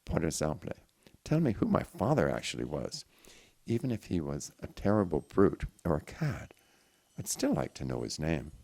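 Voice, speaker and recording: A man's voice: extremely low pitch, wildly swooping pitch, normal speed, gravelly, darkish tone, full character voice. A man voicing an ailing, nonchalant and wry French son in a storybook reading. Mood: weary, wry.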